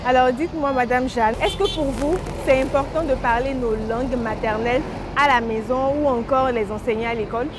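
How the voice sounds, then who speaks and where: Woman, high-pitched, street interviewer, brisk questions, traffic noise behind. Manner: brisk, chatty questioning with frequent "d'accord". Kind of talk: vox-pop street interview. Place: outdoors on a busy street.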